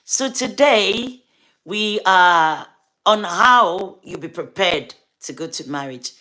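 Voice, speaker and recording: A woman with a Ghanaian accent hosts a relaxed livestream, chatting with her viewers as they come in. Her voice is bright and forward, and she talks at an easy, unhurried pace, pausing often. She greets people by name and keeps coaxing them to subscribe. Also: on the low side. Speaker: livestream host and gospel artist. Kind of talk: casual livestream chat.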